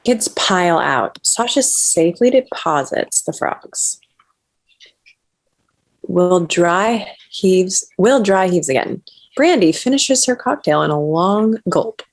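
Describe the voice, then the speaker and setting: A woman reading the stage directions of a comedy at a table read with a lively, comic delivery. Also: fairly low pitch, slow pace. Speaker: narrator.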